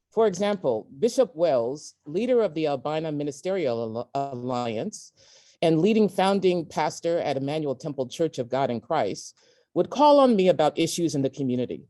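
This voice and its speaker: A woman reading memoir excerpts aloud in a measured, emphatic way, with deliberate pauses. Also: sits low, mellow. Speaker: guest reader at a virtual event. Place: indoors at a community center, on an online event.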